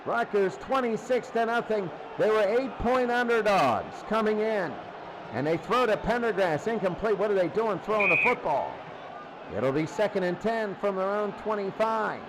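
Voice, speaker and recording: A man's voice: high voice, expressive, normal speed. A man calling live football play-by-play as a sports announcer. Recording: loud crowd noise behind voice. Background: loud stadium crowd.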